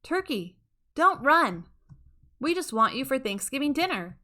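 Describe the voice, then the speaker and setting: A woman plays a kindly bull moose from a children's storybook. He speaks in a bright, forward tone, hearty and coaxing. He is polite but will not be put off. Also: sits high, sing-song, measured pace, voice pushed up, heavily acted. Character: kindly, determined adult male moose husband.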